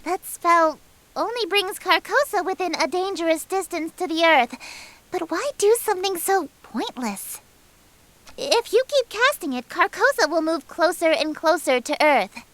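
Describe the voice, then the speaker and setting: A woman's voice: very high-pitched, animated, a little slow, fairly bright tone, dry recording, full character voice. A woman voicing a haughty, theatrical female eldritch deity in an anime-style visual novel game. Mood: baffled, scolding. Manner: theatrical, domineering, grandiose.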